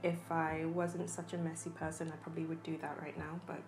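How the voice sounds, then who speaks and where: A woman's voice: low-pitched, little pitch movement, a little fast, echoey room, over music. A young woman from Nigeria chatting casually while she eats, with plenty of ums. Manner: chatty, eating between lines, many ums. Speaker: food vlogger. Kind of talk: casual mukbang chat.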